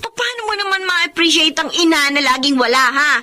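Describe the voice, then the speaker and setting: Very high-pitched, defiant daughter. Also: female voice, animated, brisk, sharp tone. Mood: resentful. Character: defiant, resentful elder daughter. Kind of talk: Tagalog radio drama acting. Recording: prominent music under dialogue.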